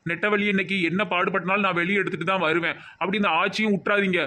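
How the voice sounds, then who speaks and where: A man voicing excitable, fussy village men in a comic cartoon, emphatic, with a Tirunelveli Tamil accent. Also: high voice, rapid-fire. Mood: exasperated. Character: excitable village men: indignant doctor, devoted newlywed husband.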